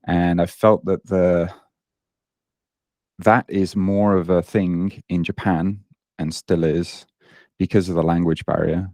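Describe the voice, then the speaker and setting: A man's voice: sits low, level tone, slow pace, long pauses, a little mellow, no echo, clean studio sound. A British man in his late thirties, a fitness coach guest chatting casually on a podcast, self-deprecating with lots of ums and uhs. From Britain.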